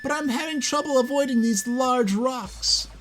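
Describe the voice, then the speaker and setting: A man's voice: very high-pitched, normal speed, a bit bright, a little hiss or hum, voice pushed up. A man voicing a servile, whiny male henchman from a comic. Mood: anxious.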